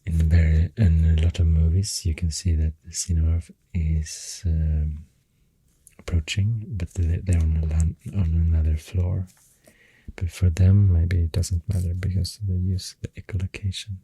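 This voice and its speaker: A man with a Swedish accent speaking softly in a halting, half-whispered ASMR ramble, close to the microphone. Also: sits low, level tone, rough recording. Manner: hushed, breathy, half-whispered. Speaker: ASMR host. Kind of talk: soft-spoken ASMR rambling.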